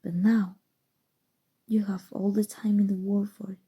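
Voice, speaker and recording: A woman playing a possessive evil sorceress in an ASMR roleplay, speaking softly and tenderly with a breathy voice that often drops to whispers. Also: medium pitch, flat delivery. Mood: soothing, seductive. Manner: slow, soothing, deliberate, sinister affection. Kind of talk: ASMR roleplay, intimate scripted monologue.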